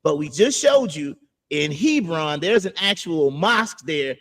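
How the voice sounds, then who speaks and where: A man with a high voice hosts a casual podcast discussion. He explains step by step and repeats his points. When others interrupt, he talks over them. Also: lively intonation, unhurried, a bit bright. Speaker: podcast host.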